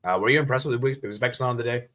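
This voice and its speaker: A man's voice: mid-range voice, steady tone, racing, fairly bright tone. A man from Bangladesh giving hedged but firm opinions in a casual football discussion. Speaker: football podcast guest.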